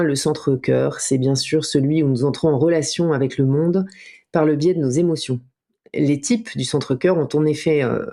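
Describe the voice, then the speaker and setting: Mellow-voiced woman reading a calm lesson. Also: low-pitched. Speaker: podcast co-host and enneagram coach. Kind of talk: read explanatory narration.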